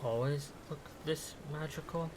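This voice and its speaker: A man's voice: fairly high pitch, measured pace, background noise, lightly acted. An actor playing a hesitant, sceptical teenage boy in an audio drama, with a wry manner.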